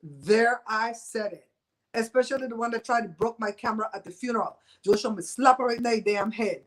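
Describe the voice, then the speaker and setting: A Belizean woman in her fifties with a fairly high voice hosts a live stream and chats straight to her viewers. She is blunt and gossipy and laughs often, with her Creole accent running all through her talk. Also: low-quality recording. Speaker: host of a live show. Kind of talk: casual chat with live viewers.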